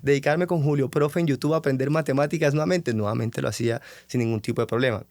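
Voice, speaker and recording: A man talks quickly through his story on a motivational podcast. He is young and lively, playing out the questions he once put to himself. The sound is close and dry, with no room echo. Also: mid-range voice. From Colombia. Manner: animated, acts out his own past self-questioning. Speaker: podcast host.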